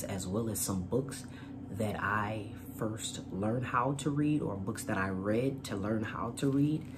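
Man hosting storytime, clear background noise. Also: lowish voice. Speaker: host of a children's read-aloud channel. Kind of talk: storytime read-aloud and channel chat.

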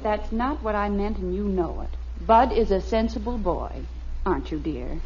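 An American actress playing an affectionate, gently chiding middle-aged wife and mother in a 1950s radio sitcom. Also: mid-range voice, moderate pace, slight background noise, close to an everyday voice. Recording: muffled old radio broadcast before an audience.

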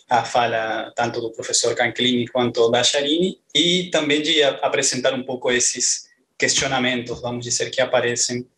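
A man in his thirties with an Argentine Spanish accent, presenting academic research over a video call from a small room at home, pausing often on "eh". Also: mid-range voice, bright, forceful tone. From northeast Argentina. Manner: hesitant, many "eh" fillers. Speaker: postdoctoral researcher. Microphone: headset on a video call.

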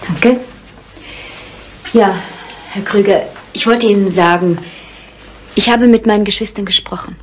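An actress playing a controlled, determined young daughter in a 1970s radio crime drama, speaking tersely in a husky voice. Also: female voice, medium pitch, unhurried, long pauses, slight background noise, lightly acted.

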